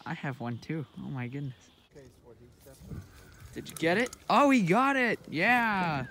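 A teenage boy chatting excitedly while fishing from a lake shore, recorded on a head-mounted camera. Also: a little high, unhurried. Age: teens. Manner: excitable, exclaiming over catches. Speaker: fishing vlogger. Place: outdoors on a rocky lake shore. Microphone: head-mounted action camera. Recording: noisy outdoor camera audio.

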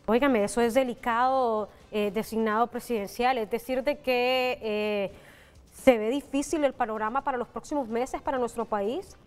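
Young woman news anchor, kinda high voice, reverby studio, polite worried questions. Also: steady tone, moderate pace, faint music behind.

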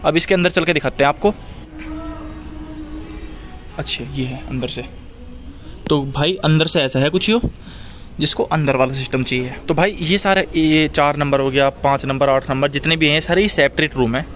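A young man with a western Uttar Pradesh accent talks straight to his viewers in an informal vlog manner. His voice is high and bright. He is recorded outdoors on a handheld camera, with faint noise around him. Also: normal speed, long pauses. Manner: informal, addresses viewers directly. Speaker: vlogger. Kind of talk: casual vlog chat. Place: outdoors, roadside and restaurant garden.

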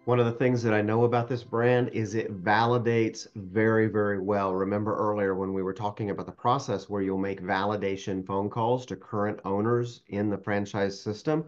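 A man's voice: on the low side, little pitch movement, moderate pace, hardly any pauses, muffled recording. A man presenting a franchise webinar over a video call, enthusiastic and fond of repeating his praise. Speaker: webinar host, franchise consultant. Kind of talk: upbeat sales presentation.

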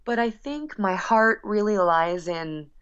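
A woman's voice: mid-range voice, lively intonation, slow, clean tone, highs cut off. A woman guest chatting casually in an interview about her work as a musician, rambling expressively with frequent asides.

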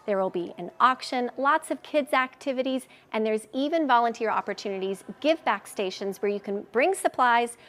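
A woman in her forties, a charity spokesperson, talking eagerly and warmly as a guest in a live TV studio interview, recorded on a lapel mic. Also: fairly high pitch, expressive, normal speed, hardly any pauses. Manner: upbeat, eager, promotional. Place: TV news studio.

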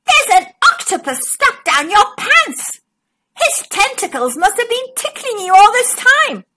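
Woman doing a little girl pirate, storybook, brave, kind, squeaky, bright, exclaiming. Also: very animated, a little slow, slight background noise, exaggerated voice. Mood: astonished, helpful.

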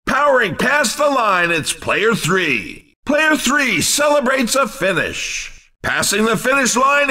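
A man playing an excitable, cheesy race commentator in a video game, calling things with punchy, emphatic delivery. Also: extremely high pitch, far from the mic, heavily acted. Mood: excited.

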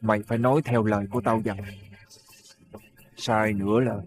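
An actor playing a menacing gang boss who gives slow, threatening orders. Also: male voice, on the low side, level tone, lightly acted.

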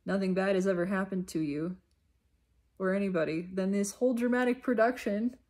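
A woman giving a reflective self-help monologue, unhurried and emphatic, often repeating her phrases. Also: medium pitch, a little mellow.

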